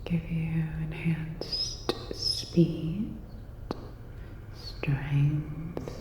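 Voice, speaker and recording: A woman playing a calm, attentive part-cyborg robot repair technician in an ASMR roleplay, whispering breathily in a soft, reassuring, clinical way. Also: sits low, very slow. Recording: dull, echoey, long reverb.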